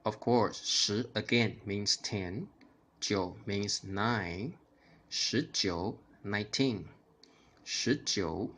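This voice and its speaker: A man's voice: fairly low pitch, fairly bright tone, slight background noise. A man teaching a language lesson, patiently repeating each number word by word for learners to copy. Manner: slow, patient repetition, drilling each word.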